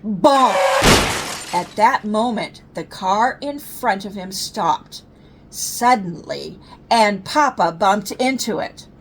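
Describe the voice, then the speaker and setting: A woman's voice: expressive, slow pace. An older woman, a storyteller, reading a bedtime story to children. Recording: faint low rumbling background noise.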